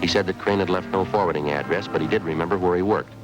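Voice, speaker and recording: A man's voice: low-pitched, fast, natural voice, poor audio. An actor playing a terse male homicide detective who narrates the case in an old radio drama. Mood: narrating. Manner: flat, clipped, matter-of-fact. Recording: old radio recording with music.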